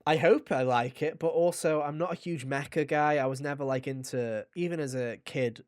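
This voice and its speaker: A man's voice: a little high, moderate pace, no echo, clean studio sound. A young man co-hosting a casual gaming podcast, telling rambling anecdotes and giving blunt, opinionated takes. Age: young adult. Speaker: podcast host.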